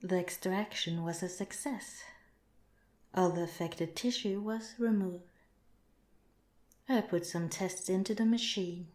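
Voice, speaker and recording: A woman voicing a calm, clinical alien researcher in an ASMR roleplay, speaking softly with measured, formal politeness. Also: on the low side, close to an everyday voice. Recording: roomy, echoing sound.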